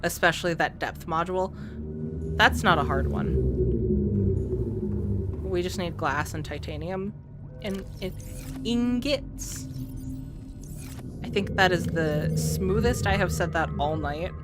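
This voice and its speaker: Chatty woman streamer, live game commentary, animated pitch, game music behind her. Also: slow, long pauses. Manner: chatty, talks to chat and to herself.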